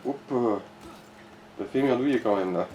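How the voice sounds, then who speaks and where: A man's voice: medium pitch, normal speed, mellow, dull audio. Guy casually chatting along to someone's game, roomy echo, bit of background noise. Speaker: gaming companion commenting along. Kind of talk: casual chat over gameplay. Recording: echoey room with faint noise.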